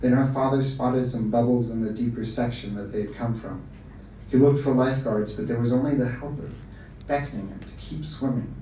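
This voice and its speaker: A man reading a short story aloud to a live audience, his pitch sitting right in the middle, calm and measured. The room is very echoey and he is picked up from some distance, with a faint hum of the venue underneath. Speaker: story reader. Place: bar event room, indoors.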